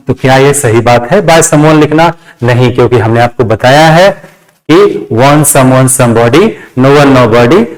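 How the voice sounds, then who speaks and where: Higher-pitched Indian man teaching. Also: low-quality recording. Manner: repeats phrases and asks rhetorical check questions. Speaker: English grammar teacher. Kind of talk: classroom lesson with step-by-step explanation.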